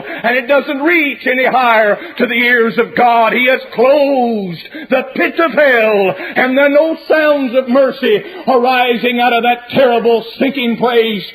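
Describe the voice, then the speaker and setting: A man preaching a fire-and-brimstone sermon in a dramatic, repetitive manner with drawn-out phrases. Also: extremely high pitch, fairly bright tone, rough recording.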